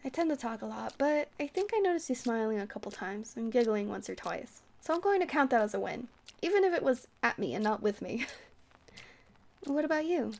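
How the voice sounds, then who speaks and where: Woman playing a shy, high-voiced rambling vampire girl. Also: sing-song, brisk, vocal fry. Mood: playful, teasing.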